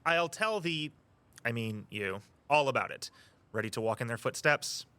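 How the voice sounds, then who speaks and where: An actor playing an eager, earnest walking-tour guide who tells local history with a storyteller's flair. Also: male voice, mid-range voice, expressive, normal speed, pushed and bright, clear, resonant tone, dry recording. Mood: theatrical, storytelling. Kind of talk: audio drama dialogue, storytelling tour patter.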